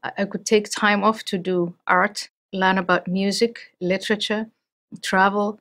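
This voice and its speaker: A middle-aged woman from Somalia, speaking as an interview guest in a thoughtful, measured way with frequent restarts. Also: mid-range voice, flat delivery, fairly bright tone. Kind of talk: interview conversation.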